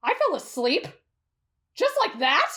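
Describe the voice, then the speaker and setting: A woman voicing an anxious, melodramatic young man in an anime fan roleplay, fretful and excitable. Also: high voice, unhurried, full character voice. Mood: agitated. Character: anxious, whiny, dramatic, devoted young man.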